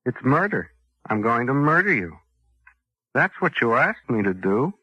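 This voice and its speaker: An actor playing an unhinged hitman who talks about killing in a flat, matter-of-fact way, in 1940s radio suspense style. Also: medium pitch, measured pace, slightly rough, no room sound, dull audio, full character voice. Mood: coldly casual. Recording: vintage radio drama broadcast.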